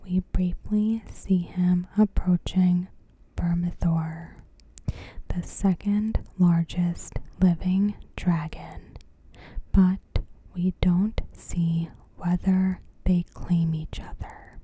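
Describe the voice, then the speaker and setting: A woman narrates in ASMR style with a very breathy, whispered voice. She takes her time, moving extremely slowly through carefully phrased recap narration. Her tone is soft and very dark, without any hard edge. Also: lowish voice, level tone, no room sound. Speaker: ASMR creator.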